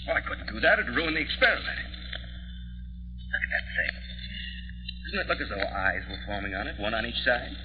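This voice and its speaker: Grumbly absent-minded american dad scientist, fussy, lively delivery, dull hummy old radio sound. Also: male voice, medium pitch, moderate pace, gravelly, pauses often. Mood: absorbed. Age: middle-aged. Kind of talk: 1940s radio drama acting. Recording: dull vintage radio recording with hum.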